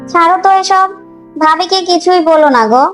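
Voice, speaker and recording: Cartoon middle daughter-in-law, very high, meek, easily hurt, soft music behind. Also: female voice, a little fast. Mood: placating. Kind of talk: Bengali cartoon voice acting.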